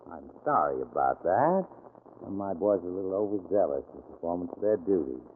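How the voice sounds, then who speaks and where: An American man playing a menacing gangster boss with false politeness and calm threats, in a 1940s radio drama style. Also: sits low, fairly quick. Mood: silky, feigned regret. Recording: tinny, noisy 1940s radio recording.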